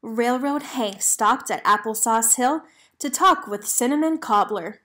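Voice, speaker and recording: Lady with a highish voice reading a kids book out loud, animated storyteller style. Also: unhurried. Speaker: storybook reader. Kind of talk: expressive children's read-aloud narration.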